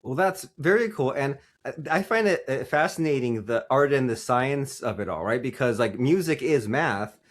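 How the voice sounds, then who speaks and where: A man's voice: mid-range voice, expressive, moderate pace, few pauses. A man hosting a podcast interview, asking long rambling questions full of "like" and "you know". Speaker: podcast host. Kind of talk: casual interview chat.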